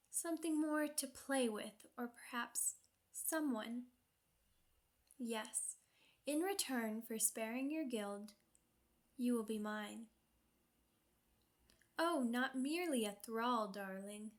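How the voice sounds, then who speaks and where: A woman playing a sadistic, seductive vampire queen in an ASMR roleplay, with a purring, condescending, theatrical delivery. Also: a little high. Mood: seductive, predatory. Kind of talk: ASMR roleplay, scripted villain monologue.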